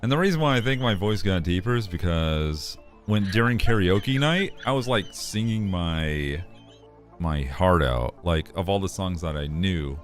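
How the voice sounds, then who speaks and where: A man's voice: deep voice, lively intonation, measured pace, faint music behind. A man chatting casually on a livestream, joking loosely and laughing often. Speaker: streamer.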